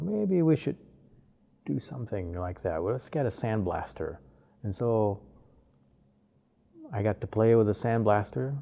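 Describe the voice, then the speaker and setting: A man in his fifties from Malaysia, an artist talking about his life in an interview, unhurried and full of pauses and fillers. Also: lowish voice, gravelly, mellow. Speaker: artist guest. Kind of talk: relaxed interview conversation.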